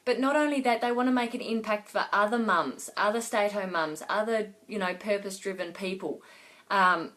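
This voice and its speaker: Woman, business coach on a live stream, chatty and rambling, slightly hoarse from a cough. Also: mid-range voice, normal speed, a bit bright. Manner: rambling, many fillers and restarts. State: losing her voice with a cough. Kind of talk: casual Facebook Live talk to viewers.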